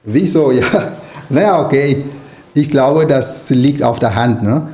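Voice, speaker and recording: Mellow middle-aged man. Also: medium pitch, slow pace. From Nicaragua. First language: Spanish. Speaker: interview guest, writer and language lecturer. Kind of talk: conversational interview answers.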